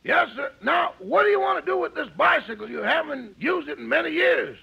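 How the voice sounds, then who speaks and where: An actor playing a wisecracking valet with a raspy voice in a 1950s radio comedy, delivering his lines deadpan. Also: extremely high pitch, fairly quick, heavily acted. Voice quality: very gravelly, raspy. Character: wisecracking valet and handyman servant to his boss. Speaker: comic sidekick. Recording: muffled vintage radio broadcast.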